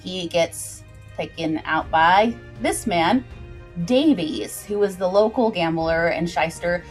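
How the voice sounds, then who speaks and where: A woman's voice: on the low side, takes it slowly, soft background music. A woman hosting a livestream, recapping a story informally and explaining it directly to her viewers in a chatty way.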